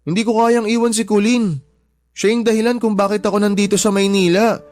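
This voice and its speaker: A man's voice: high-pitched, steady tone, brisk, a little mellow, natural voice. A man playing a humble young shop worker in a radio drama, anxious and respectful, pleading politely in distress. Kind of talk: Tagalog radio drama acting. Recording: background music under the voice.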